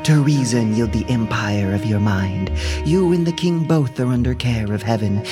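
A man voicing a stern, commanding war goddess in an epic audio drama, speaking solemn, measured verse. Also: medium pitch, pitched above the performer's own voice, natural voice.

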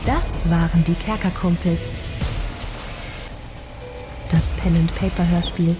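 A woman's voice: on the low side, steady tone, normal speed. A woman reading a scripted outro announcement for a podcast. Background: music.

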